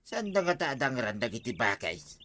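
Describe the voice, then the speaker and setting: A man's voice: medium pitch, big pitch swings, brisk, fairly bright tone. A man playing a doubting, quarrelsome village sidekick with an Ilocano accent in a radio comedy drama.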